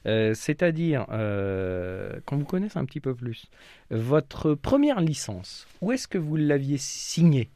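A man's voice: mid-range voice, big pitch swings, slow pace, warm tone, no room sound. A man hosting a weekly radio football show in the studio, greeting guests and asking questions with frequent hesitations.

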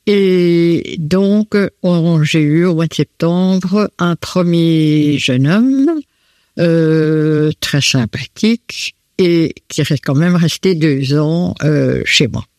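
A woman's voice: sits low, a little mellow, no echo, clean studio sound. A woman answers interview questions at home in her living room, hesitant and full of fillers. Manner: slow and hesitant, many fillers. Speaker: host of students at home.

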